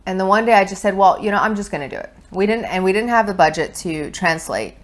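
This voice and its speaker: A woman's voice: medium pitch, expressive, fast talker. A woman in her thirties chatting casually on a couch, giving seller advice in an explanatory way, recorded with a lapel mic. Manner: chatty and explanatory, lots of fillers. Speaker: co-host, online seller. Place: indoor living room on a couch.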